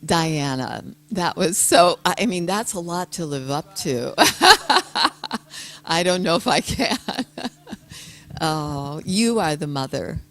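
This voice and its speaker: Older woman, soft-spoken, gentle, low voice, unhurried talk to an audience. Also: lively intonation. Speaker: guest speaker. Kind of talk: heartfelt personal talk to an audience.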